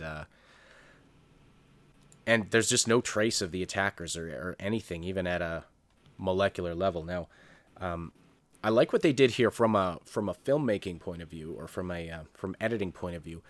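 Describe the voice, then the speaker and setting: A man's voice: low-pitched, normal speed, fairly bright tone, no room sound. A man co-hosting a casual, unscripted TV review, thinking aloud in a hesitant, rambling way. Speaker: co-host of a review show.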